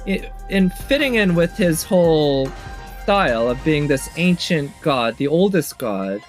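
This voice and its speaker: A man with a slightly high voice comments casually on what he is watching, in the way of an online video commentator. He talks off the cuff and rambles as he works through his thoughts. Music plays behind him. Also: animated, measured pace. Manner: rambling, thinking aloud. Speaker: video commentator ranking game trailers. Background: music from trailers playing.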